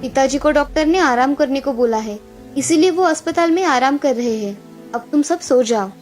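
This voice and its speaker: High-pitched village mom, woman acting her, put-upon wife in a kids story cartoon, soft music behind. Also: expressive, moderate pace. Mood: soothing. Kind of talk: Hindi children's moral story cartoon dub.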